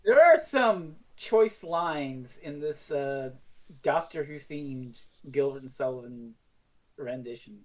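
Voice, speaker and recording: A podcast co-host talks in a casual, conversational review style. The voice sits at a middle pitch and drops swear words freely. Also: lively intonation, slow, darkish tone. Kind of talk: casual chat.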